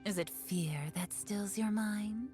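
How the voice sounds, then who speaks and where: Sly, graceful fox spirit played by an actress. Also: female voice, mid-range voice, fairly even intonation, slow pace, mellow, faint music behind, close to an everyday voice. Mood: poised, wistful. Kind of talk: video game voice acting.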